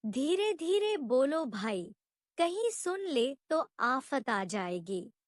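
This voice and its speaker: A weary old labourer from a story , voiced by a woman, meek and timid in manner. The speech is very slow and high-pitched, recorded dry. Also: big pitch swings, no room sound, full character voice. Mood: fearful.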